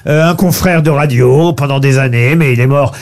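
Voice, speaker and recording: A man's voice: mid-range voice, normal speed. A man hosting a radio panel show, quizzing and teasing his guests.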